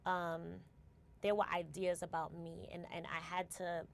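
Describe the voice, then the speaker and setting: Interview guest: New woman in her forties, low gravelly voice, reflective and unhurried. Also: flat delivery, slight background noise. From United States. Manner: reflective, tags phrases with "right?" and restarts words. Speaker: guest, company founder. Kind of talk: casual interview conversation.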